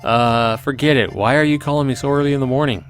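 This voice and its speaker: A man's voice: mid-range voice, quick pace, faint music behind, natural voice. A man voicing a reluctant, sarcastic and vain young man narrating his life in a visual novel, grumbling and exasperated. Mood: wary, irritated. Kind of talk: visual novel character reading.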